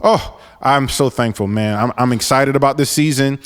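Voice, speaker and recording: A man in his forties hosts his podcast alone, speaking earnestly and stumbling through many restarts. His voice is smooth and resonant, and a long reverb hangs behind it. His pitch sits in an ordinary middle range. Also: normal speed. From New York, raised in Florida. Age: 40s. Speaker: podcast host. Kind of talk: solo podcast monologue.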